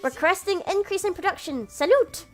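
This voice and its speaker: A woman's voice: very high-pitched, normal speed, soft background music, pitched above the performer's own voice. A woman voicing a cheerful, childlike young cat girl waitress in a visual novel, eager to help. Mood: dutiful.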